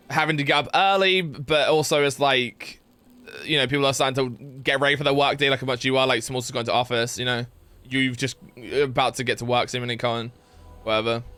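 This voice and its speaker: Bright-voiced man streaming a game. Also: mid-range voice, smooth. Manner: rambling, filler-heavy, reads chat aloud, yelps with excitement. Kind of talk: casual live-stream gameplay chat.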